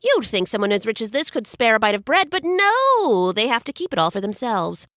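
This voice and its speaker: A woman's voice: medium pitch, unhurried, a bit bright, clear, resonant tone, no room sound, studio quality, close to an everyday voice. A woman voicing a speedy, chatty male messenger god whose words run together in a jumble. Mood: indignant.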